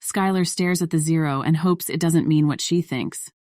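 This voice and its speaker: A woman's voice: sits low, normal speed, darkish tone, no room sound, clean studio sound. A woman reading scripted story narration in the role of narrator.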